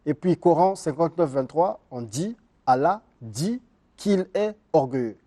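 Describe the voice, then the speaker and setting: A man's voice: on the high side, animated, a little slow, warm tone. A man hosting a studio interview, reading out viewer questions and directing his guest. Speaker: interviewer host.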